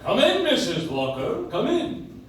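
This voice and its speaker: Man as swooping, high-voiced blustery store boss. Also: huge pitch swings, measured pace, fairly bright tone, heavily acted. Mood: jovial, blustery. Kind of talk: live stage radio play acting. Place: coffeehouse stage, picked up from the audience. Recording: echoey faintly noisy room, distant microphone.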